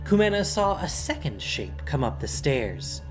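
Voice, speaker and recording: A man narrating a scripted fantasy audio drama in a deliberate, dramatic way with weighty pauses. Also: medium pitch, very animated. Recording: faint music behind.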